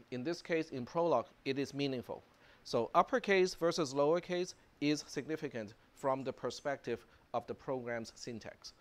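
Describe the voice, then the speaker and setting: A man whose first language is Cantonese teaches a university programming class. He talks in a relaxed, chatty way, full of fillers, at a middle pitch. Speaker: university computer science lecturer. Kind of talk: live classroom lecture.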